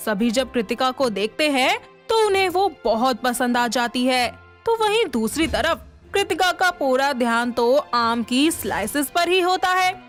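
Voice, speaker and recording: A woman reads scripted story narration. Her voice is high and she goes slowly, with light music behind her. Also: expressive.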